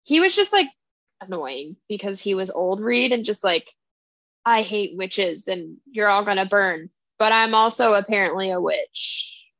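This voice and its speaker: A young woman co-hosting a casual book podcast, animated and often laughing as she acts out characters' lines. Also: mid-range voice, measured pace, dry recording. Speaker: podcast co-host. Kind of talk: casual chat about books.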